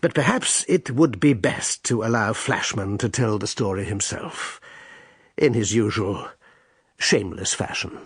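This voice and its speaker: A man narrating a scripted radio drama introduction in a measured, formal, drily ironic manner. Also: lowish voice, breathy edge, dry recording, studio quality.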